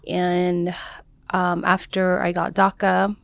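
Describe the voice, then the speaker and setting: Woman, from Mexico, raised in San Diego, gravelly low voice, earnest, halting, dry close sound. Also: monotone, no room sound. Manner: earnest, halting storytelling with small restarts. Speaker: guest, wardrobe stylist. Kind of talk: conversational interview.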